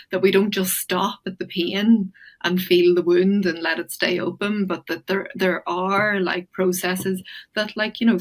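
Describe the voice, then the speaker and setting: Low, even-toned reflective woman. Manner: thoughtful, hesitant, many fillers and restarts. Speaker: guest. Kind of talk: reflective conversational interview.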